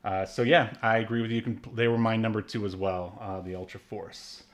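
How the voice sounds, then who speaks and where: Low-voiced man hosting a podcast. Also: fairly even intonation, moderate pace. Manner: rambling, frequent fillers. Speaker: podcast host. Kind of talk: casual chat.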